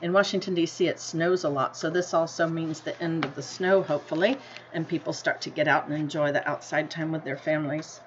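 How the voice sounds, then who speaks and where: An American woman teacher reading a picture book aloud to children, deliberately, with simple explanatory asides and questions to her listeners. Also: deep voice, fairly even intonation. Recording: dull lo-fi sound with noticeable background noise.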